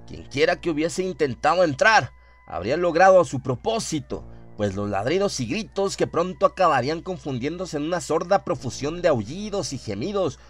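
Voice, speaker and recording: A man's voice: fairly high pitch, sing-song, normal speed, faint music behind. A man reading scripted horror fiction as the dramatic narrator of a radio play.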